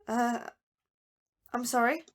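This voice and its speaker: Horror podcast role: anxious female scientist, higher register, unsure and doubting. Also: fairly even intonation, takes it slowly. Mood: frightened. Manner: hesitant, stammering, incredulous. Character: anxious, questioning astrobiologist new to the facility. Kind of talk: audio drama acting.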